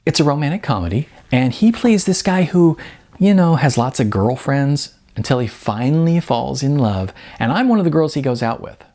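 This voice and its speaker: A man's voice: medium pitch, animated, normal speed, dark tone, voice pushed up, close to an everyday voice. A man voicing a bubbly, starstruck young female model in an audiobook story, gushing in breezy chatter. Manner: gushing, breezy chatter peppered with filler words.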